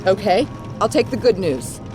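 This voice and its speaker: A woman's voice: medium pitch, big pitch swings, moderate pace, lightly acted. An actress playing a stubborn, desperate young woman on the run in an audio drama, speaking with insistent, pleading emphasis. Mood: eager, hopeful. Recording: loud dramatic music behind the voice.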